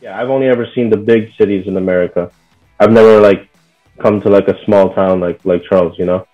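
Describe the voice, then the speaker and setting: A man's voice: fairly low pitch, brisk, dull audio, faint music behind. A young man from Bahrain being interviewed, talking casually with lots of "like" and "you know". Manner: relaxed, full of "like" and "you know" fillers. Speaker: interview guest.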